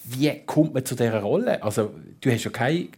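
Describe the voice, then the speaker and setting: A man's voice: mid-range voice, expressive, normal speed, dark tone, husky. A man with a Swiss German accent hosting a conversational radio interview, prompting his guests with enthusiasm. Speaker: radio talk show host.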